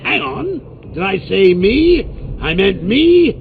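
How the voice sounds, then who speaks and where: A man's voice: high voice, very animated, takes it slowly, vocal fry, phone-quality sound, slight background noise, full character voice. An actor playing a cruel, joking male clown criminal in a video game, sneering and cackling. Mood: mocking.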